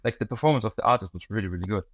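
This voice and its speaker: Low-voiced man chatting, dry close sound. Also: very fast, no room sound, highs cut off. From Germany. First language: German. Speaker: interview guest. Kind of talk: casual interview chat.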